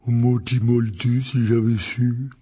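Gruff storybook giant played by a male narrator: dark, very husky, protective, grumbling and blunt. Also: medium pitch, little pitch movement, unhurried. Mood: warm, slow.

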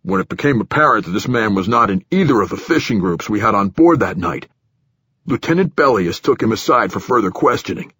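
Man acting a flustered, husky station director. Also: on the low side, animated, a little fast, a bit bright, no room sound, pitched above the performer's own voice. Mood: defensive, formal. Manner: deferential, hedging, folksy turns of phrase. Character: flustered, overweight middle-ranking male station director, defensive. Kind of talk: audiobook character dialogue.

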